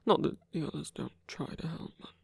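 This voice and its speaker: A man playing a weary, tender villain laid up in pain, speaking haltingly and softly in an intimate ASMR roleplay. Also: dry recording, clean studio sound, natural voice. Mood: weary, reassuring. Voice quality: breathy, creaky. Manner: hesitant, halting, soft-spoken.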